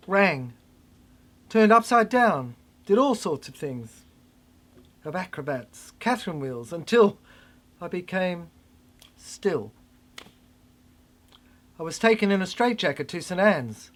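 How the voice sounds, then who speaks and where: Performer with widely swinging, slow dramatic delivery. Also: medium pitch. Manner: fragmented, halting phrases with dramatic swings. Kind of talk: theatrical spoken-word monologue.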